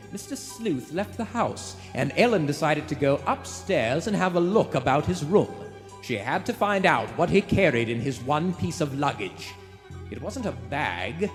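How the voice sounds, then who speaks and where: Music plays in a reverberant theater as a man narrates an old-time radio thriller. His fairly high voice moves widely in pitch, building suspense theatrically. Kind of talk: dramatic scripted narration, vintage radio suspense. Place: theater stage before a live audience.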